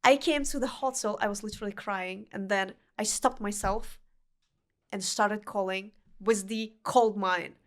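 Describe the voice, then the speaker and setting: A young woman from Uzbekistan who speaks English with a Russian accent as a non-native speaker, talking earnestly with halting phrasing and restarts as a podcast guest. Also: medium pitch, steady tone. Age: young adult. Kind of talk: casual interview chat.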